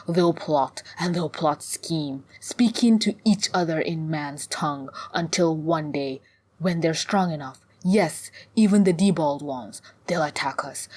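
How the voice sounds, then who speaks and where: A woman's voice: sits low, darkish tone. A woman voicing a venomous, fanatical old female cult leader in an audiobook, preaching and cursing pompously. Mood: zealous.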